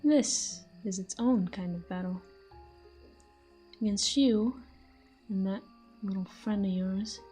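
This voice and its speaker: A woman's voice: mid-range voice, slow pace, long pauses, warm tone, slightly rough, faint music behind. A woman playing a possessive, flirtatious fantasy villain in a roleplay audio drama, teasing and smug, speaking intimately to the listener. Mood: jealous, flirtatious.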